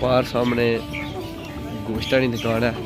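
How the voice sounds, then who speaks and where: A man's voice: medium pitch, level tone, normal speed. A young man from Azad Kashmir, chatting with shopkeepers on a casual street vlog in an outdoor town bazaar. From Azad Kashmir, Pakistan. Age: young adult. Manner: chatty, greets shopkeepers warmly with questions. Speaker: vlogger. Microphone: handheld camera at arm's length. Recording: loud background music.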